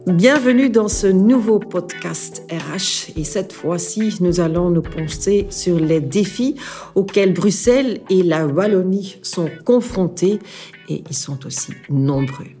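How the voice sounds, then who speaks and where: Flemish woman hosting in French, mellow. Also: lowish voice, lively intonation, slow, faint music behind. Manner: friendly, hesitant, searching for words. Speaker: podcast host, interviewer. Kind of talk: interviewer questions.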